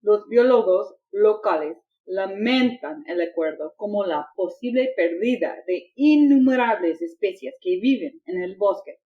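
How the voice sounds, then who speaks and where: A woman playing a news reader, delivering a formal, measured scripted report on a slightly lo-fi recording. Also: mid-range voice, expressive, lightly acted. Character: female Latin American news reader, formal. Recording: slightly dull, lo-fi broadcast sound.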